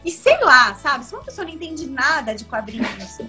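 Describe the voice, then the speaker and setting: A woman's voice: high-pitched, big pitch swings, brisk, sharp tone, faint music behind. A woman in her thirties from Brazil, a comics editor talking in a casual, emphatic video-call interview from a room at home, with many fillers and restarts. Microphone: laptop webcam on video call. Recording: dull lo-fi video call audio.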